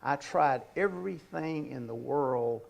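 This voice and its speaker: An older man in his sixties or beyond who stutters, giving an informal talk as an author in a halting way with repeated words. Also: a little high, vocal fry. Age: 60s or older.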